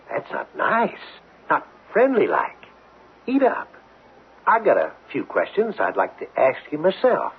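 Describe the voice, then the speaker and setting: An actor in a radio drama playing a wary, menacing outlaw with a rough rural American voice. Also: male voice, lowish voice, exaggerated voice. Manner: suspicious, drawling folksy threats. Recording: thin phone-like old radio.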